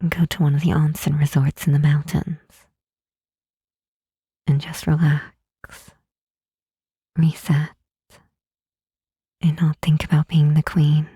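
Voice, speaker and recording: A woman playing a gentle, reassuring demon queen in an intimate ASMR roleplay, speaking softly and tenderly with a breathy, husky voice and deliberate pauses. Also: deep voice, level tone, no room sound, clean studio sound, full character voice. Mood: calm, encouraging. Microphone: close mic, dry.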